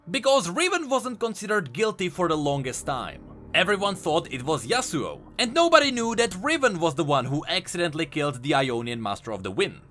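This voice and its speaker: Very animated, high-voiced man explaining. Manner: emphatic, explanatory. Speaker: host of a video game lore channel. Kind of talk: enthusiastic scripted commentary. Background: music.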